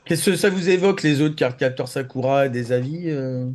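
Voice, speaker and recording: A man's voice: mid-range voice, moderate pace, a little mellow. A man co-hosting a talk show in casual, conversational speech. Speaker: co-host of a web talk show. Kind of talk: casual chat.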